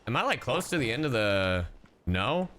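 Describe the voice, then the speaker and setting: A man's voice: mid-range voice, big pitch swings, normal speed, fairly bright tone, slight background noise. A man streaming a game, chatting casually with his viewers, joking around and swearing freely. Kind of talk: casual livestream commentary.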